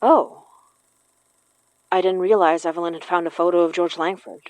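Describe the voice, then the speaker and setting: A woman's voice: on the low side, fairly even intonation, moderate pace, darkish tone, no echo, lightly acted. A woman acting in an audio horror drama as an earnest, knowing spirit medium who blames herself. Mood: thoughtful. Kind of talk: audio fiction podcast acting.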